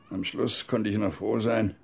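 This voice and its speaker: An actor in a radio drama playing a bitter, stubborn old former army major, halting and agitated, with a gravelly, husky voice. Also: male voice, on the low side, exaggerated voice. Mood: bitter, resigned. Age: older. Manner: halting, agitated, self-reproaching, with outbursts. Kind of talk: German radio crime drama acting, interview monologue.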